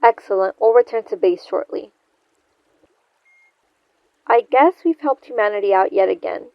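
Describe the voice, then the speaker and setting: An actress playing a confident, dutiful psionic agent and sister in a sci-fi audio drama, composed and assured. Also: female voice, mid-range voice, moderate pace, creaky, dry recording, highs cut off, natural voice.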